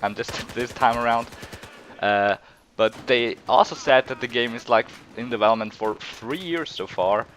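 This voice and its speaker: A man's voice: mid-range voice, moderate pace, a bit bright, faint music behind. A young man with an Austrian German accent, sick with a cold, giving hesitant, rambling gameplay commentary full of fillers and restarts. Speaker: gaming channel host.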